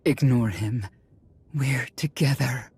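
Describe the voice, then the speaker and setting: An actress playing a sorrowful middle-aged mother in a video game, earnest and halting, with a husky voice. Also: extremely low pitch, dark tone, heavily acted. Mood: steady. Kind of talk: video game character acting.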